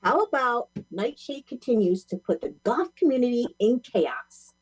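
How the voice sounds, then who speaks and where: A woman's voice: on the high side, very animated, normal speed, breathy edge, low-quality recording. A woman playing an exasperated, sarcastic goth mother in an amateur audio play, complaining as she goes. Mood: aggrieved, sarcastic.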